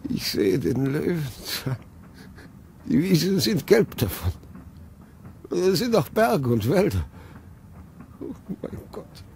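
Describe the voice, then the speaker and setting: Ein Schauspieler spielt in einem alten Hörspiel einen uralten, unruhigen Großvater, der langsam und stockend spricht. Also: male voice, a little high, very animated, darkish tone, a little hiss or hum, full character voice. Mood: awed, uneasy. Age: older. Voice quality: creaky, slow and halting. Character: very old man, grandfather, restless and fearful. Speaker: actor in a radio play. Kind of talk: 1950s radio drama acting.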